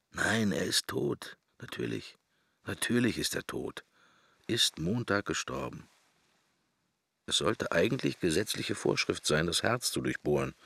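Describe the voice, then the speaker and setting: Gravelly, brooding man in private thought. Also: low-pitched, pushed and bright, dry recording, exaggerated voice. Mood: anxious musing. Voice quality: gravelly, husky. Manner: murmured, wandering inner monologue in fragments. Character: brooding, wry middle-aged man musing on death. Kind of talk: radio drama interior monologue. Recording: roomless, very dry studio sound.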